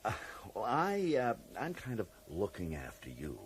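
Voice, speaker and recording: An actor playing a cagey, drily amused federal agent in a radio drama, speaking with polite, evasive hesitations. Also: male voice, fairly low pitch, huge pitch swings, normal speed, a little hiss or hum. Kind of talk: 1980s radio drama acting.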